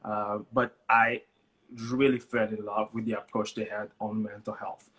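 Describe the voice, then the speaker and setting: A man talking as a guest in a live conversation, relaxed and hesitant, often repeating a word before he gets going. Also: fairly low pitch, moderate pace, low-quality recording. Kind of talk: casual heartfelt conversation. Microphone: live stream device mic.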